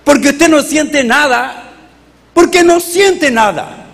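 Chilean male pastor, high voice, animated sermon delivery, reverberant hall. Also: takes it slowly, creaky. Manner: animated, rhetorical questions to the congregation.

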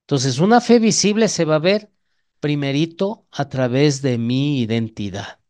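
A man's voice: fairly high pitch, expressive, moderate pace, darkish tone. A man with an Ecuadorian Spanish accent preaching an evangelical sermon in a didactic way, often putting questions to his listeners.

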